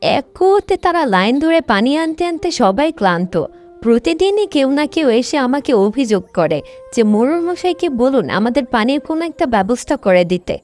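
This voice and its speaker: Woman as a village wife and mother, cartoon, higher pitch, animated intonation, earnest, faint music behind. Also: moderate pace. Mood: urging. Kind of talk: cartoon voice acting.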